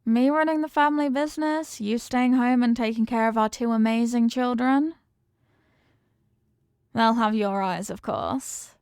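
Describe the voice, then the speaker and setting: A woman's voice: a little high, unhurried, darkish tone, smooth, dry recording, clean studio sound. A woman playing a confident mafia boss girlfriend in an intimate romantic audio roleplay, talking tough but tenderly with affectionate slang. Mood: devoted, promising. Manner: affectionate tough talk with slangy pet names.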